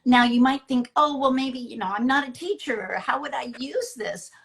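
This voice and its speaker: A woman's voice: high-pitched, moderate pace, creaky, a bit bright. A woman hosting a promotional interview, speaking in an encouraging, explanatory way. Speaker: interviewer and storyteller.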